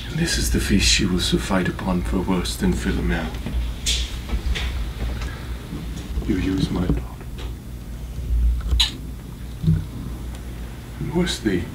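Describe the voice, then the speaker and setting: An actor playing a vengeful, grief-maddened Roman father in a declamatory Shakespearean stage monologue. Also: low voice. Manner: declamatory, deliberate, emphatic. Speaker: actor in a workshop. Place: acting workshop, indoors. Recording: distant mic, clearly noisy workshop room.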